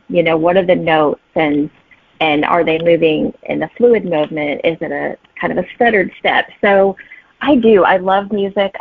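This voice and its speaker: A woman's voice: fairly low pitch, gravelly, no room sound. A middle-aged woman guest chatting in an interview, telling stories and voicing her own thoughts as she goes. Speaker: guest, romantic suspense author. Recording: thin band-limited phone-like line.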